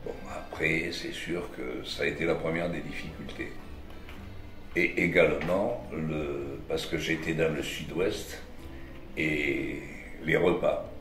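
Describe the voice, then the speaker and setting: A man of about eighty, born in Italy with Italian as his first language, recalling his past in a slow, halting way with frequent restarts. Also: very deep voice, fairly even intonation, a bit bright. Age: older, about 80. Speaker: interviewee. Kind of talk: reminiscing interview. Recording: roomy echo with background music.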